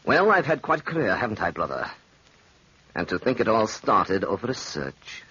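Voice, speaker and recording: A man's voice: fairly low pitch, big pitch swings, moderate pace, pushed and bright, husky, exaggerated voice. An actor playing a cold, mocking, doomed man in theatrical 1940s radio style, on a dull old recording. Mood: coldly detached. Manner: theatrical, sardonic.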